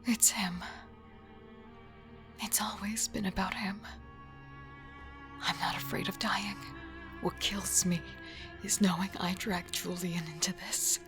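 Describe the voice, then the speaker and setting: A woman's voice: medium pitch, level tone, slow, long pauses, over music, close to an everyday voice. A woman playing an intense, defiant serial killer devoted to her partner, acting and narrating in a horror audio drama. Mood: anguished.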